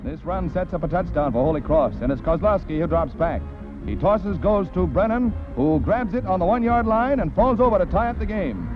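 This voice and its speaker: A 1940s American newsreel man delivers scripted commentary in a highish, very animated voice. He is jaunty and punchy, with a wisecracking showman's touch. The old soundtrack is dull, with music playing clearly behind him. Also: a little fast. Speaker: newsreel narrator. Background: background music.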